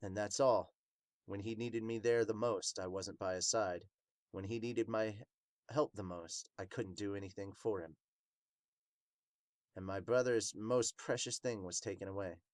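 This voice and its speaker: A man voicing a remorseful demon captain in a manga dub, recounting his past in a somber, measured way. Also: lowish voice, fairly even intonation, no room sound, clean studio sound, close to an everyday voice. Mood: somber, regretful.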